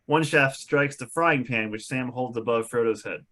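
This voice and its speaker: Guy reading out stage directions at a table read, medium pitch. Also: normal speed, bright, forceful tone. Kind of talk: scripted narration at a table read.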